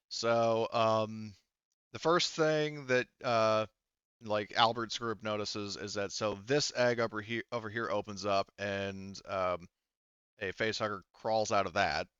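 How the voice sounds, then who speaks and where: A man narrating a casual tabletop roleplaying game as game master, halting and thinking aloud as he works through rules. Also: lowish voice, a bit bright, smooth, no echo, muffled recording.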